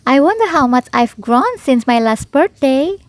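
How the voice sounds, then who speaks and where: A woman's voice: high voice, big pitch swings, normal speed, no room sound, pitched above the performer's own voice. A woman voicing a cheerful six-year-old girl bear cub in a storybook, eager and childlike. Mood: grateful, curious.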